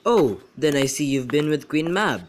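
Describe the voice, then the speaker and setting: A witty, teasing young gentleman in an amateur radio play, acted by a young man, with a slightly high voice. He banters and declaims, and clear noise and clicks sit on the homemade recording. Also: animated, moderate pace, close to an everyday voice. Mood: fanciful storytelling. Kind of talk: amateur student radio drama acting.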